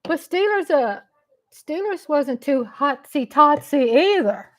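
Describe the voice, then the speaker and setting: A woman with a Texas accent chats on a live stream from her home, teasing and chatty. Her voice sits slightly high and she takes her time, drawing words out at an unhurried pace. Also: expressive. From the American South. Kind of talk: casual live chat.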